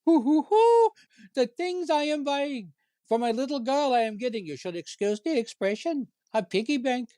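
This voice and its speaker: A man's voice: very high voice, expressive, moderate pace, warm tone, no echo. An actor playing a cheerful, chatty family man out shopping in a radio comedy, with quaint mangled phrasing. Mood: jolly, chatty. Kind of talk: old-time radio comedy acting.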